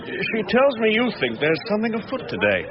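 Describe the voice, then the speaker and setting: Bluff genial police super in radio drama, highish lively voice, expansive, crowd in background. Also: male voice, a little fast, lo-fi sound. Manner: hearty, expansive.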